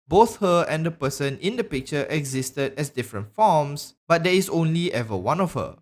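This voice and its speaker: A man narrating a scripted video essay in a measured, explanatory way. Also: fairly high pitch. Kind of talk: scripted narration, game lore analysis.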